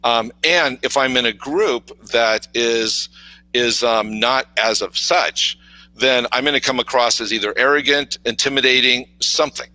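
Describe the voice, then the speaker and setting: A man's voice: medium pitch, unhurried, sharp tone, no echo, poor audio. A man with an authoritative voice, talking as a podcast guest in an emphatic, conversational, explanatory way. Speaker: podcast guest on mindset and communication.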